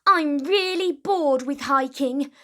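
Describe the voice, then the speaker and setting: A woman's voice: high voice, takes it slowly, pushed and bright, voice pushed up, full character voice. A woman voicing a stroppy, bored Viking in a storybook, moaning and grumbling. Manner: moaning, whiny.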